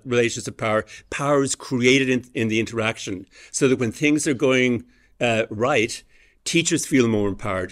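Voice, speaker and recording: Measured male education lecturer. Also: medium pitch. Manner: measured and explanatory, with frequent hesitant fillers. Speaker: education professor giving a lecture. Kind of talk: academic lecture.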